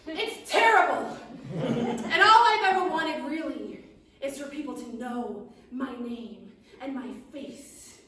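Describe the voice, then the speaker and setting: A woman voicing a tiny, fame-hungry male gnome alchemist who talks in a squeaky, giggly, boastful way, heard in an echoey room from some distance. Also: lively intonation, takes it slowly, bright, forceful tone, heavily acted. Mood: excited boastful.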